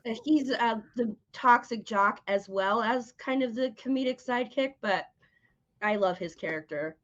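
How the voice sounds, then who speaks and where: A woman's voice: on the high side, normal speed, lo-fi sound. A woman hosting a casual, conversational interview, chatting along as she asks questions and shares opinions. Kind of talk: casual chat about horror.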